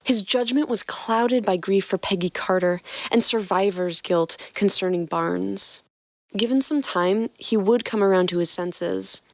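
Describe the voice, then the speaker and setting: A woman's voice: mid-range voice, measured pace, no room sound. A woman podcast co-host who reads fan fiction aloud and trades playful banter with her partner.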